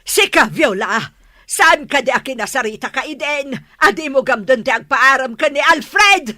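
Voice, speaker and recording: Stubborn, indignant Ilocano mother for comedy radio drama, played by a woman; very sharp voice, close dry sound. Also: high voice, animated, normal speed, dry recording. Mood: scornful. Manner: scolding, indignant, exclaiming. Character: angry, stubborn village mother opposing her son's marriage.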